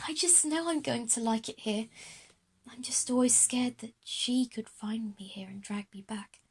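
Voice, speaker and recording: A woman playing a timid, grateful young schoolgirl in a children's audio drama, earnest and soft-spoken. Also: a little high, normal speed, gravelly, husky. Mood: anxious, hushed.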